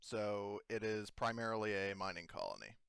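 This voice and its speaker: A man's voice: on the low side, very animated, normal speed, vocal fry, a bit bright, smooth, no echo, studio quality. A man casually explaining details to players as a tabletop game master.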